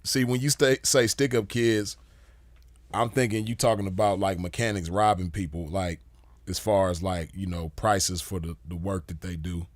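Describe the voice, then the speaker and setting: A man's voice: low-pitched, steady tone, moderate pace, dry recording. A man giving a casual commentary monologue as host, laid-back and full of "you know" fillers. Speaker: podcast host.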